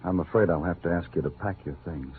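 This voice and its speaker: An actor playing a stern, terse male police investigator with a gruff voice in an old radio drama. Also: deep voice, fast talker. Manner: terse, official. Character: stern, dry police investigator questioning a suspect. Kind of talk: 1940s radio drama acting. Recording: muffled, tinny old radio recording.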